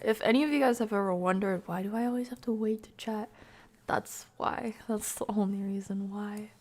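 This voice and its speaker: A woman hosting a game stream, chatting casually and playfully with viewers in an informal, slangy way, her voice often creaky and sometimes soft. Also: on the high side. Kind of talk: casual chat with live viewers.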